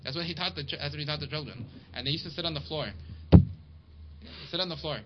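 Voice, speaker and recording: A man teaching a casual Torah class to a small study group, chatty and quick, reading Hebrew and Aramaic passages aloud. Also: on the high side. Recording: dull lo-fi recording with steady background noise.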